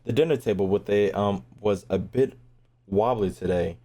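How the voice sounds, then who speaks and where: A man's voice: fairly low pitch, moderate pace, warm tone. A man doing casual live gameplay commentary as a streamer, informal and profane, sometimes reading on-screen text aloud. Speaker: game streamer. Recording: game music behind the voice.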